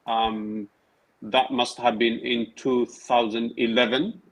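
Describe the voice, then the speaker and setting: A man in his thirties who grew up in Tigray and speaks Tigrinya as his first language. He talks as an interview guest in a measured, hesitant way, with many fillers and restarts. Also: medium pitch, flat delivery, poor audio. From Tigray, Ethiopia. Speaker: guest, writer and PhD researcher. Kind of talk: casual interview conversation.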